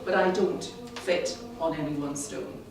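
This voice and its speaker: A woman's voice: low-pitched, fairly even intonation, unhurried. Echoey, distant woman speaker. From Scotland, born in the Highlands. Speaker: club member giving a first speech. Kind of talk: prepared public speech. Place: indoor meeting room, in front of an audience. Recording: very echoey room, distant mic, clearly noisy.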